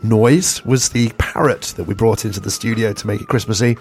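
A hoarse man who has lost his voice, hosting a casual radio chat show with a self-mocking, jokey manner. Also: lowish voice, fairly quick, a little mellow.

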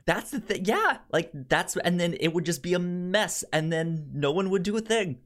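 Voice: mid-range voice, expressive, normal speed, a bit bright. A podcast co-host in casual chat, rambling along and often repeating words.

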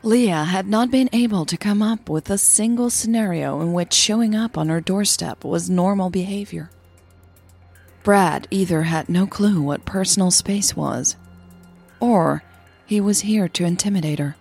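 A woman with a husky, breathy voice hosting and narrating audio fiction in a measured way, with pauses. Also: medium pitch, darkish tone, faint music behind. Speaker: podcast host and storyteller.

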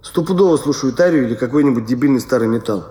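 An actor playing a detached observer, narrating an audio play from off mic in an echoey room. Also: male voice, mid-range voice, quick pace, warm tone, far from the mic, soft background music, natural voice. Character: detached observer narrating small everyday bus movements.

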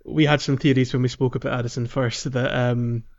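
A man's voice: medium pitch, flat delivery, brisk, a little mellow, highs cut off. A man chatting casually about a video game as a podcast host.

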